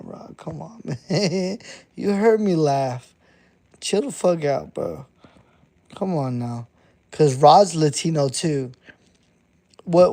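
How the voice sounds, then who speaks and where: Man, 30s, fairly high voice, dark and mellow, unhurried, blunt, cusses a lot. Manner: blunt and profane, repeats himself. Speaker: underground hip-hop artist streaming to chat. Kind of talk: casual live-stream monologue.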